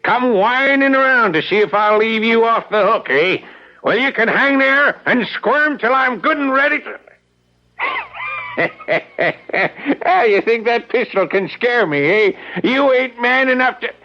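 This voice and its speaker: An actor playing a mean, gruff old man in a radio mystery, sneering and muttering rustic taunts. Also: very high-pitched, lively intonation, measured pace. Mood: scornful, taunting. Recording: dull lo-fi radio drama recording.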